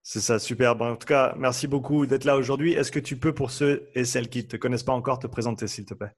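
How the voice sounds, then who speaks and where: A man's voice: medium pitch, steady tone, quick pace. A man hosting a podcast, asking his guest friendly, curious questions in a casual way. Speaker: podcast host.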